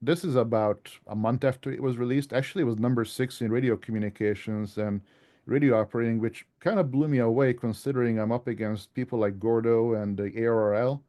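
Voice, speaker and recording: A man presents his work to a club in an informal, conversational manner. His voice is mellow and relaxed, at an ordinary male pitch. He comes across as self-deprecating as he walks through his slides. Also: a little fast. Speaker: author giving a club presentation.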